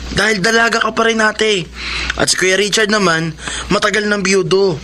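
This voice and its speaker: A man's voice: high-pitched, steady tone, normal speed, pushed and bright. A man playing a cheeky, teasing matchmaking uncle in a radio drama. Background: music.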